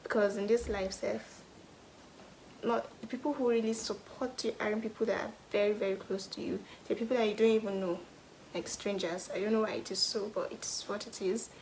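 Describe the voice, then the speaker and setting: Soft-spoken Nigerian woman. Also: mid-range voice, fairly even intonation, slight background noise, rough recording. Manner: thoughtful, pauses with "hmm" and "um", drops to a soft whisper at times. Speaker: vlogger. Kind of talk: casual question-and-answer vlog.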